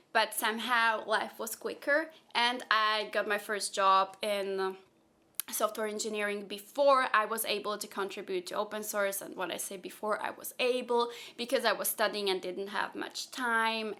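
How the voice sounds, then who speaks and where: Young woman, from Slovakia, Slovak first language, bright voice, chatty, lots of fillers, casual vlog Q&A. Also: mid-range voice. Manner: chatty, thinks aloud with many fillers. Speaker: software engineer and content creator. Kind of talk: casual Q&A vlog, answering viewer questions.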